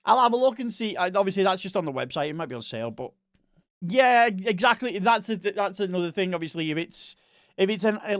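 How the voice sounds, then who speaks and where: A man's voice: fairly high pitch, no room sound, clean studio sound. A man with a Northwest English accent, a mix of Manchester and Liverpool, chatting casually on a live stream, rambling and correcting himself as he reads viewer messages.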